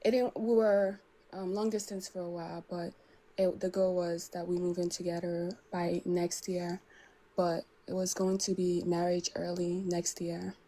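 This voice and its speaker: Woman caller, low flat dark voice, hesitant, many "um"s. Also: a little slow, low-quality recording. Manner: hesitant, full of "um" and restarts. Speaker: caller seeking advice. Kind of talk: telling a personal story.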